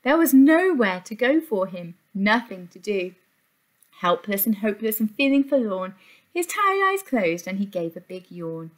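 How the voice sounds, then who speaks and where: Animated Englishwoman reading a children's story. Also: mid-range voice, normal speed. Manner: animated, sing-song emphasis. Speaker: infant school teacher. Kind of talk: expressive picture-book storytelling for young children. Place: small indoor classroom.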